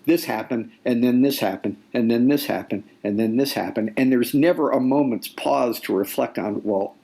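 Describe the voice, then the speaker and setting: A man speaking as an author guest in a conversational interview, explaining deliberately and repeating phrases for weight. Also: mid-range voice, vocal fry, dark tone. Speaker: nonfiction author, podcast guest.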